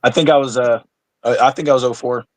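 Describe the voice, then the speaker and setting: A man's voice: medium pitch, steady tone, fast talker, dry recording. A man of thirty-nine, a podcast guest in casual chat, blunt and swearing freely, stumbling over restarts. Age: 30s. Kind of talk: casual conversation.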